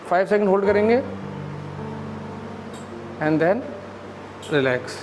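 A man in his thirties, a physiotherapist, calmly explaining exercises step by step in a clinic room, on a lapel mic. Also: high-pitched, slow, noisy background. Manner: calm, instructive, explains step by step.

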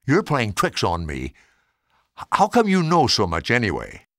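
A storybook girl, acted out by a man, young, angry and stubborn. The words come out blunt and stammered, with very lively rises and falls in a dry, close recording. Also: fairly low pitch, normal speed, vocal fry, dry recording, heavily acted. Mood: suspicious.

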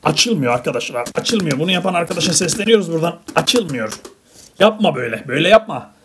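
A woman's voice: low voice, expressive, fast talker, a bit bright. A woman chatting casually with a child and viewers as a parent hosting a toy unboxing, playfully exasperated. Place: indoors at a table. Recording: clearly noisy home recording with clicks.

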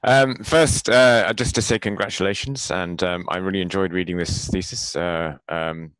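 Very deep-voiced polite examiner, dry sound. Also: male voice, fairly even intonation, moderate pace, a bit bright, clean tone, no echo, poor audio. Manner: thoughtful, polite, rambling with fillers. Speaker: thesis examiner. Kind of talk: academic questioning.

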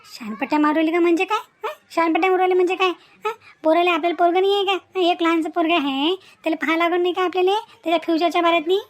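A woman's voice: very high-pitched, steady tone, very fast, hardly any pauses. A woman voicing a scheming, nagging young village wife and mother with a rural Vidarbha Marathi accent, in scolding, repetitive cartoon dialogue. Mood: indignant scolding. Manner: scolding, repetitive, rhetorical questions.

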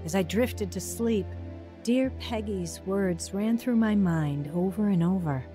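A woman's voice: mid-range voice, a little slow, close to an everyday voice. An actress playing a weary young single mother who narrates her story in a tired, reflective way. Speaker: narrator and lead character. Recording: music under the voice.

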